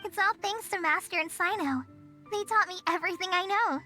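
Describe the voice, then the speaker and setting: Timid young game apprentice, high bright voice, soft music under. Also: female voice, a little fast. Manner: shy, hesitant. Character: shy young woman, soft-spoken apprentice. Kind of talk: game voice acting.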